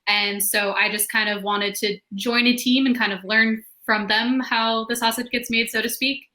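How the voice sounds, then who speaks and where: A woman's voice: fairly high pitch, steady tone, normal speed, sharp tone. A woman talking casually and unscripted as a writer on a panel.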